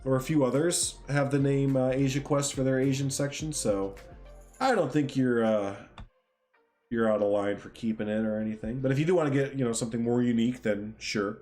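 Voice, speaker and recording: A man's voice: medium pitch, steady tone, brisk, darkish tone, soft background music. Stuffed-up man hosting a livestream. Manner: friendly, rambling, reads out chat. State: stuffed up from a sinus cold. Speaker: livestream host. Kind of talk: casual livestream chat.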